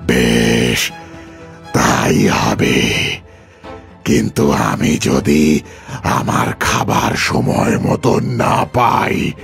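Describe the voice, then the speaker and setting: Huge guttural demon, extremely deep. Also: male voice, sing-song, drawn-out words, vocal fry, a bit bright, soft background music, heavily acted. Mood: menacing. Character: huge ravenous male demon ghost, menacing, demanding. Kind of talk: cartoon horror story voice acting.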